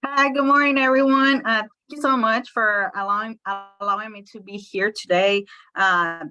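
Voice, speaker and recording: Bright-voiced woman presenter. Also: a little high, steady tone, normal speed, dull audio. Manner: eager, friendly. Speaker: guest presenter. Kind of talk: presentation on a video call.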